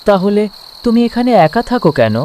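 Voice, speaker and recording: A man's voice: high voice, big pitch swings, moderate pace, mellow, a little hiss or hum. A man voicing a brave, curious and friendly young village schoolboy in a cartoon, earnest and inquisitive. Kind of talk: cartoon voice acting.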